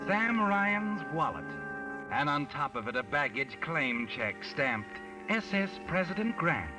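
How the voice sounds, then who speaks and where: An old, distant radio broadcast under loud music. a 1940s mystery narrator, a man with a lively, swooping delivery. He is deliberate and insinuating, as if he knows every secret. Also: a little high. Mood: ominous, insinuating. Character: sly, knowing omniscient male narrator taunting the protagonist.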